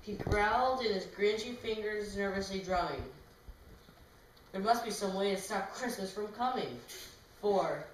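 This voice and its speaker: A woman reads a rhyming storybook aloud in a very reverberant room. She sits away from the microphone, with clear noise behind her. Her reading is informal and homemade, at a middle pitch. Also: a little slow. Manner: informal, with asides to people nearby. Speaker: reader of a storybook. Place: echoey room, away from the mic. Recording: very echoey room, distant mic, clear noise.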